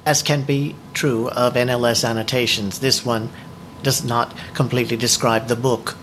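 A man co-hosting a book discussion, explaining things to the group in a measured, informal presenting manner. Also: mid-range voice, flat delivery, fairly bright tone, a little hiss or hum, rough recording.

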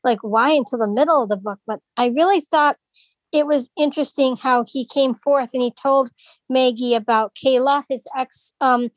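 A woman's voice: sits high, moderate pace, no room sound, low-quality recording. A woman in a book club, giving a long rambling comment with many restarts. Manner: long rambling run-on remarks. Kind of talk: casual group chat.

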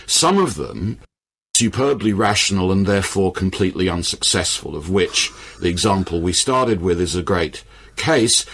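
A man speaking as an expert guest, explaining history in deliberate, articulate and emphatic phrases. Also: sits low, a bit bright, husky. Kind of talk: explanatory discussion.

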